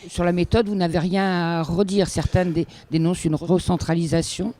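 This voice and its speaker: A male interviewer asks his questions. His pitch is a little high for a man, yet the tone is extremely mellow and dark. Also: little pitch movement, moderate pace.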